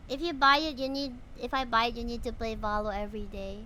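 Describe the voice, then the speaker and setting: A woman's voice: on the high side, fast talker, pushed and bright. A woman with a Filipino accent, a native Bisaya speaker, chatting playfully on a live game stream and sometimes reading dialogue aloud. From Philippines. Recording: noisy live stream, game audio behind. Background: game sound and voices.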